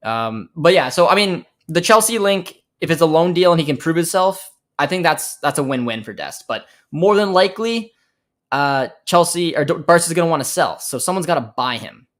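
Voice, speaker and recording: Young american guy doing a live footy watchalong, kinda high voice, rambly and relaxed, lots of ums. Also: normal speed. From United States. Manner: chatty, relaxed, many fillers and restarts, reacts to the play. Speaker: host of a football watchalong. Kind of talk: casual live match commentary and chat reading.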